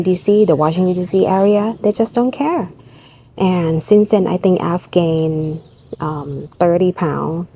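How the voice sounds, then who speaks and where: A woman's voice: lowish voice, dark tone, slight background noise. A woman in her forties from Bangkok with a Thai accent, talking candidly and reflectively to camera about her own life. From Thailand, Bangkok. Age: 40s. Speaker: vlogger. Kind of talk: personal reflective talk to camera.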